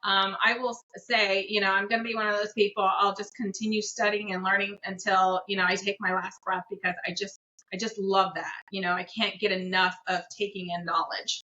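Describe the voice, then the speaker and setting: A woman's voice: mid-range voice, level tone, brisk, bright, forceful tone. A middle-aged businesswoman, whose first language is Korean, chatting in an interview in a reflective way with many fillers. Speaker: guest, business owner. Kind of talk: casual interview conversation. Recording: dull lo-fi audio.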